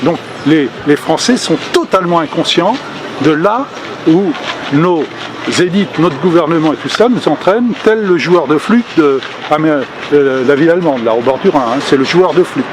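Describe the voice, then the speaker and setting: An older man delivers an unscripted activist speech outdoors, voice pitched a little high for a man. He pauses often, restarting sentences and filling gaps with hesitations, but stays earnest throughout. Passing traffic and street noise sit clearly behind him. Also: lively intonation. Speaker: activist speaking at a public meeting. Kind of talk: spontaneous political speech.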